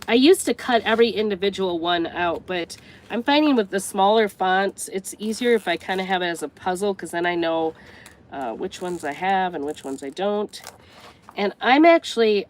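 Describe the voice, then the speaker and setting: A woman's voice: mid-range voice, low-quality recording. An older woman with a stuffed-up, congested voice, chatting casually and thinking aloud as she works through a craft tutorial. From United States. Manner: chatty, rambling, thinks aloud while working. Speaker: craft vlogger.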